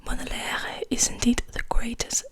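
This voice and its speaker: Whispering woman, intimate ASMR reading. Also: moderate pace. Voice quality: breathy unvoiced whisper. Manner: intimate, soothing, repeats words softly. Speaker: ASMR host reading poetry aloud.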